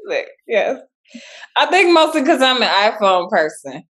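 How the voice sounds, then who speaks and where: A woman's voice: fairly high pitch, sing-song, moderate pace, a bit bright. A woman from Chicago, a school principal in her thirties or forties, chatting cheerfully in an interview and laughing easily. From Chicago, South Side. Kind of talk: casual interview chat.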